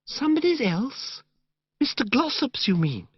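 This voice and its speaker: A man reading an audiobook, voicing a breathy, sentimental young woman who sighs and drawls out her words. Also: extremely high pitch, big pitch swings, no room sound, lo-fi sound, pitched above the performer's own voice, heavily acted. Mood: breathless. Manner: breathy, sighing, drawn-out gushing. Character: gushing sentimental young woman, dreamy and whimsical. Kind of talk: audiobook character voice.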